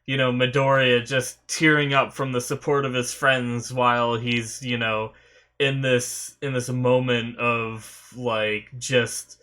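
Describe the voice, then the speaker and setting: Young male reaction host, sniffly after being sick, flat rambling delivery, bright tone. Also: medium pitch, takes it slowly. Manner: rambling, many fillers and restarts. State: recovering from a sore throat, sniffly. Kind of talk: casual reaction commentary.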